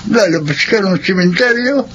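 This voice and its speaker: A man's voice: sits high, expressive, moderate pace, fairly bright tone. A man playing a kindly, trusting old grandfather in a radio drama. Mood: kindly, concerned. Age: older. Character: kindly, trusting old grandfather who gives second chances. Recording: dull, noisy radio drama audio.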